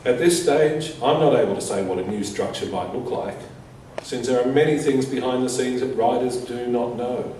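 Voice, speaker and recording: A man with a soft, mellow voice reads a prepared statement aloud at a conference. He goes in measured, deliberate phrases. The room is heavily reverberant and he sounds some distance from the mic, with faint noise behind him. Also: medium pitch. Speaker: conference speaker. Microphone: distant from the speaker.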